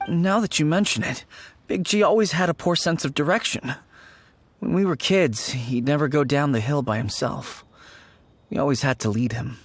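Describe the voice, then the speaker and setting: A man's voice: a little high, normal speed, close to an everyday voice. A man playing an earnest young friend. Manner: earnest, warm-hearted. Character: gentle young man, childhood friend of the ghost. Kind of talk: game voice acting.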